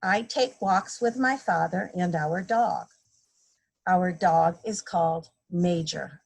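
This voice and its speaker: A woman's voice: lowish voice, slow, gravelly, a little mellow, husky. A woman reading a picture book aloud to young children, explaining things simply and playfully acting out sound effects. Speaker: guest storybook reader, a mother. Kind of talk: read-aloud children's story time, simple teacherly explaining.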